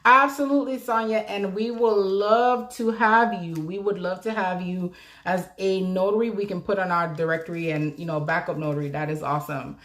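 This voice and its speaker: Friendly female business mentor on a live stream, low-ish voice, chatty and upbeat, quick to laugh. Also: steady tone, fairly bright tone. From South Florida, USA. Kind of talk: live-stream chat answering viewers.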